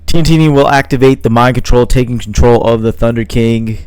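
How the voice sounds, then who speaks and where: A man's voice: mid-range voice, quick pace, darkish tone, clear, resonant tone, no echo, faint music behind. A man giving casual play-by-play commentary on a card game match, thinking aloud with frequent fillers. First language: not Italian.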